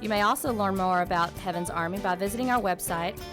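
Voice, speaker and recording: A woman's voice: mid-range voice, fast. A woman announcer reading a scripted sponsor message. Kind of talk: scripted advertisement narration. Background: music.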